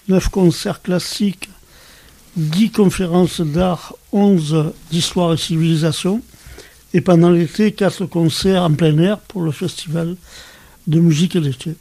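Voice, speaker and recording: Slow, mellow man describing his venue. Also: on the high side, vocal fry. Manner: slow, listing facts. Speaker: venue manager. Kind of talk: radio interview answers.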